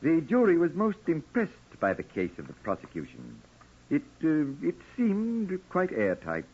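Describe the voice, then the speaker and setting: An actor playing a kindly, retired old judge in 1940s radio drama style, courteous and deliberate, with hesitant phrasing. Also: male voice, on the high side, wildly swooping pitch, a little mellow, breathy edge, exaggerated voice. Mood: measured, explanatory. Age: older. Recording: dull old radio recording.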